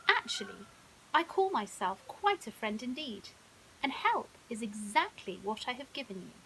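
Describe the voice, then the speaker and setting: A woman's voice: a little high, big pitch swings, moderate pace, pushed and bright, full character voice, rough recording. A woman voicing a clever, teasing female crocodile with a polite, assured manner in a children's bedtime story. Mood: smug, calm. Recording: audible hiss.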